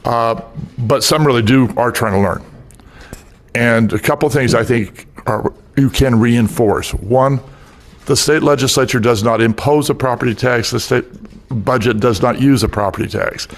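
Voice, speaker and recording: Man explaining with a gravelly voice. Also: medium pitch. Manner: measured, explanatory. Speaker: meeting participant. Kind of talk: meeting comment.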